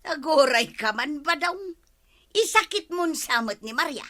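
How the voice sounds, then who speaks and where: A woman's voice: high-pitched, expressive, a little slow, sharp tone, exaggerated voice. A woman playing an indignant, quarrelsome village woman with an Ilocano accent in a radio comedy-drama, arguing emphatically that she is the wronged one. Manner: argumentative, emphatic.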